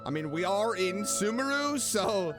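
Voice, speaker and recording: High-pitched, animated man streaming a game. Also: measured pace. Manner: playful, talks back to game characters. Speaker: streamer, gaming host. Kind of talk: casual gameplay commentary. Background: faint game music.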